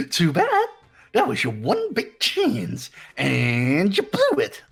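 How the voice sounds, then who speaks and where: A man voicing a gleeful, mocking mascot villain in a game playthrough, with a squeaky, sing-song delivery. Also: wildly swooping pitch, very slow, vocal fry, a bit bright, slightly rough, soft background music, exaggerated voice. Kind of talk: voiced video game playthrough.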